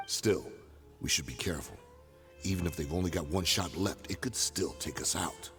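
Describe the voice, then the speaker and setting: A man playing a cautious, level-headed mercenary in a video game, measured and wary. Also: deep voice, fairly bright tone, faint music behind. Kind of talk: video game character acting.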